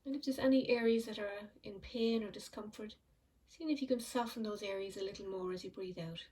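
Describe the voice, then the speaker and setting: A woman leading a guided mindfulness meditation, speaking slowly and softly with long pauses. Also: mid-range voice, distant mic, low-quality recording. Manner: slow, soft and soothing, with frequent pauses. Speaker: meditation teacher.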